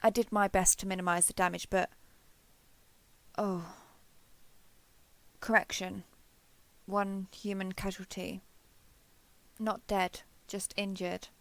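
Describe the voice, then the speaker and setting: A woman playing a giant friendly alien robot soldier in an audio roleplay, gentle, reassuring and a little awkward with the listener. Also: mid-range voice, little pitch movement, slow, pauses often, dry recording. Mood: businesslike report. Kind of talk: scripted roleplay audio drama addressed to listener.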